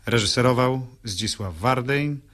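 A man's voice: mid-range voice, a little slow, fairly bright tone. A man reading formal scripted credits as a radio announcer.

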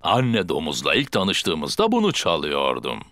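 A man's voice: sits low, huge pitch swings, a little slow, full character voice. A man voicing a jolly, bumbling father pig in a cartoon who talks in a jovial, teasing, fatherly way. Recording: cartoon with loud music behind.

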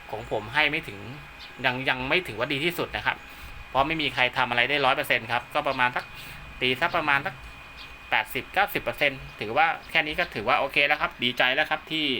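Male hobbyist vlogger, polite and steady, sharp bright tone, faint room noise. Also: mid-range voice, quick pace. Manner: steady, polite, ends nearly every phrase with a polite particle. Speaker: amateur radio hobbyist vlogger. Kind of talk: casual hands-on demo narration. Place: room at home by a window.

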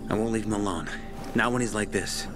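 A man acting a grim game survivor in a low voice. He sounds weary and protective of a hurt friend, speaking gravely and tersely. Loud music plays behind him. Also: brisk, lightly acted. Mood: protective, pleading. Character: grim, weary male survivor guarding his wounded friend. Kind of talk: video game dialogue.

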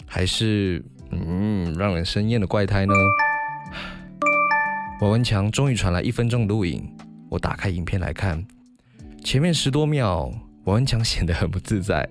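Very deep-voiced Taiwanese man. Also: normal speed, music in the background. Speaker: podcast host. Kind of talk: narration and book discussion.

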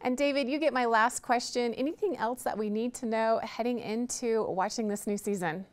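A woman's voice: fairly high pitch, moderate pace. A woman interviewer reading polished, friendly questions on a studio set.

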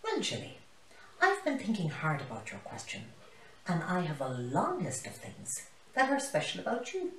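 A woman's voice: fairly low pitch, huge pitch swings, moderate pace, a bit bright, recorded from a distance. A woman voicing a kindly, enthusiastic female tortoise teacher in a storybook. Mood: warm praise. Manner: enthusiastic, encouraging. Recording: roomy, echoey living room.

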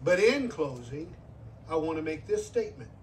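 A man's voice: on the high side, very animated, moderate pace, distant mic, a little hiss or hum. An older man, a guest preacher in his sixties, delivering an earnest sermon and repeating phrases for emphasis. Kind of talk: sermon with altar call.

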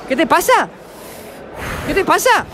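Guy in his 20s, bright sharp voice, cheeky street quiz host at a convention, handheld mic. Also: very high voice, sing-song, a little slow, slight background noise. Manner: brash, mocking, playful insults. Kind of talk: teasing street quiz interview. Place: indoor convention hall. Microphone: handheld mic with furry windscreen.

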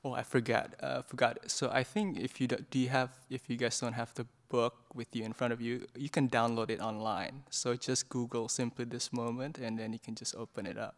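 A middle-aged monk from Indonesia talks through a book at a hesitant, gentle pace, full of restarts and fillers. His voice is mid-pitched with a gravelly edge. He speaks into a stand mic in a meditation hall. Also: little pitch movement, a little fast, hardly any pauses. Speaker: Buddhist monk leading a book club talk. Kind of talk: informal book discussion talk. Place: meditation hall in a Buddhist centre. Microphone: stand microphone in front of his chair.

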